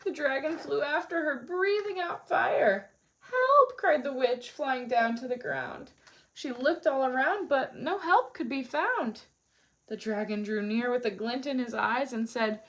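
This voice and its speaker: A woman storyteller reading a children's picture book aloud expressively. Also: fairly high pitch.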